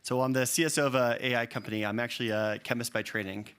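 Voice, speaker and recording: A man's voice: mid-range voice, fairly even intonation, fast, fairly bright tone, smooth. A man speaking as a chief scientist, introducing his work to a panel audience. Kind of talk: spoken self-introduction.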